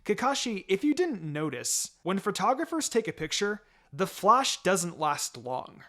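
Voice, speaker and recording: A man's voice: high-pitched, sing-song, unhurried, sharp tone, voice pushed up, full character voice. A man voicing a precocious, confident four-year-old girl in a read-aloud anime fan fiction, earnest and cheeky.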